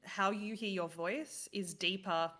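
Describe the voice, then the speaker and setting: Bright-voiced woman chatting on a podcast. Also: medium pitch, fairly even intonation, measured pace. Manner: laughs often, acts out her own reactions; fillers like "uh" and "um". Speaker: podcast guest, card game streamer. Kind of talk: casual chat.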